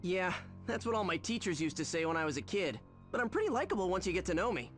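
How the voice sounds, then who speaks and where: A man's voice: high-pitched, very animated, fast. Confident teenage boy hero. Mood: cocky. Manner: earnest, cocky, youthful. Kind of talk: video game cutscene acting. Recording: loud music underneath.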